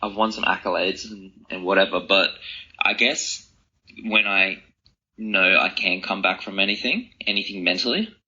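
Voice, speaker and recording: A man's voice: deep voice, normal speed, bright, forceful tone. A man giving earnest, reflective answers as an interview guest in a conversational style. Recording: slightly dull, lo-fi sound.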